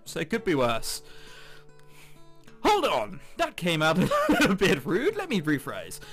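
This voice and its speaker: A man giving casual, jokey gaming commentary with sarcastic asides, switching between reading game text aloud and his own remarks. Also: high voice. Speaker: let's player. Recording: music behind the voice. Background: game background music.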